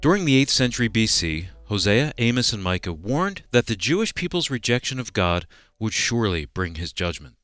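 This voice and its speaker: A man reading a promotional announcement on the radio in a measured way, slowing for the phone numbers. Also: on the low side, very animated, fairly bright tone, no room sound, faint music behind.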